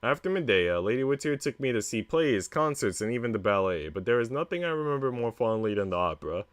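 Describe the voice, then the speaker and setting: A man's voice: mid-range voice, big pitch swings, fast, smooth, natural voice. A man voicing an earnest, well-mannered young woman who narrates a visual novel in a formal, reflective way. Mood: fond, reflective.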